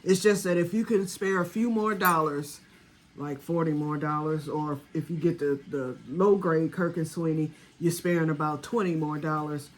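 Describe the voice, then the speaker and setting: A woman's voice: low voice, fairly even intonation, normal speed. A woman in her sixties, chatting casually to camera about her homemade batch, rambling and talking straight to her viewers. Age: 60s. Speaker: home vanilla maker.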